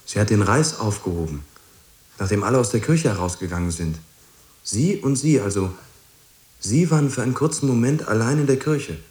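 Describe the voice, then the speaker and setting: A male actor plays a young man in a radio drama, earnest and a little shy. His voice sits fairly low and he speaks hesitantly, yet keeps gently pressing. The space sounds echoey. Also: lively intonation, normal speed, close to an everyday voice. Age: young adult. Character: earnest, persistent young man, shy but insistent visitor.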